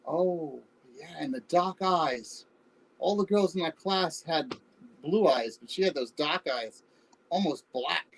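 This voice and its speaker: A woman playing a defensive, evasive older husband, a Vietnam veteran, halting and exasperated in a naturalistic play reading. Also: deep voice, lively intonation, full character voice, poor audio. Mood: reminiscing. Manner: halting, defensive, repeats words. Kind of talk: virtual staged play reading, naturalistic dialogue.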